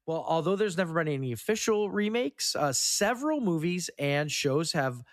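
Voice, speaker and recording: A man hosting a casual film podcast, running through trivia in a halting way and stumbling over words now and then. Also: on the high side, big pitch swings, no room sound, studio quality. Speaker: podcast host. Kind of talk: casual film trivia rundown among co-hosts.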